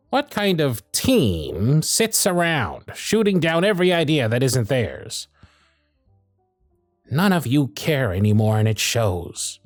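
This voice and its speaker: A man's voice: a little high, dry recording, studio quality. A man playing a proud, sardonic but protective supervisor in a scripted ASMR roleplay, with theatrical, exasperated phrasing. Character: proud, sardonic male workshop supervisor, protective of his intern. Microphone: close studio mic, dry.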